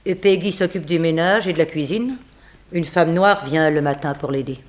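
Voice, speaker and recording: An actress playing a composed, proper housekeeper of seventy in a French radio drama, matter-of-fact and formal. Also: female voice, low voice, moderate pace, natural voice. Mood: composed, informative. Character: composed seventy-year-old female housekeeper, frank and proper.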